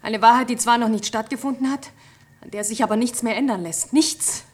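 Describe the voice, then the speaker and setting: A professor's wife in a radio drama, with a slightly husky voice. She speaks in a measured, solemn way, resolute and weighed down by what she knows. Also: a little high. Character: professor's wife, grave, resolute, burdened by foreknowledge. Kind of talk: German radio play acting.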